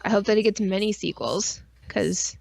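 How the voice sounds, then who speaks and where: A woman's voice: mid-range voice, normal speed, gravelly, a little mellow, no room sound. A woman co-hosting a casual livestream, talking in an informal, enthusiastic way. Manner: informal, enthusiastic, frequent swearing. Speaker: podcast co-host.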